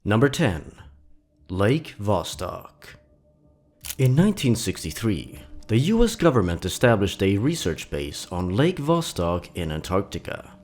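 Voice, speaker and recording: A man hosting and narrating horror stories in a scripted, deliberate and dramatic storytelling delivery. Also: fairly low pitch, big pitch swings, a little mellow.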